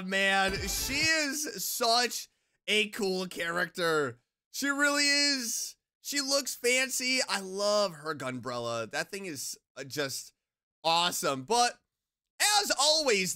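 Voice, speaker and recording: A reaction streamer gushing over a game trailer with loud exclamations and frequent laughter. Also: mid-range voice, takes it slowly, clean tone. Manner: excitable, laughs often, exclaims loudly. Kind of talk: casual reaction commentary.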